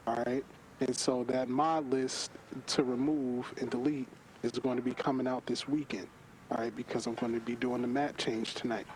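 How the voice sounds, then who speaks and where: A man's voice: medium pitch, fairly even intonation, normal speed, a little hiss or hum, low-quality recording. A man leading an online gaming group, giving an informal briefing in a firm, instructing manner over voice chat.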